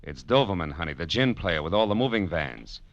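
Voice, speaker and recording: Wry American private eye, deep-pitched, tough slangy 1940s radio delivery, muffled vintage broadcast. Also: male voice, big pitch swings, a little fast. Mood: wry, tense. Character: wry, tough male private detective narrating his case. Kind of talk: 1940s radio drama acting, hard-boiled narration. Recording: dull old radio broadcast recording.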